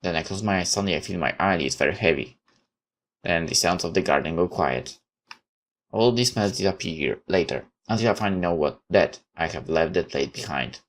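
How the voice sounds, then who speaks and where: Male game host, deep voice with lively swings, narrating a visual novel dramatically, halting at times. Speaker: gaming video host. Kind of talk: reading a visual novel aloud with asides.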